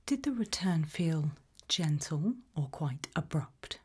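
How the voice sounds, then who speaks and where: A British woman speaking in a soft, breathy, mostly whispered ASMR style, gently asking measured questions as an interviewer in a roleplay. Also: sits low, expressive. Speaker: ASMR roleplayer as an abduction investigator.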